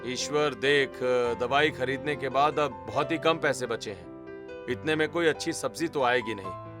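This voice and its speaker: A man's voice: medium pitch, moderate pace, over music, close to an everyday voice. A man voicing a poor, weary village labourer with a pleading, resigned manner in a cartoon story. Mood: resigned, worried.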